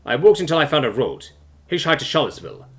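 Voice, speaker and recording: A man's voice: a little high, very animated, fast talker, a bit bright. A man voicing a doomed fugitive who confides his story with formal, grave resignation in an audiobook.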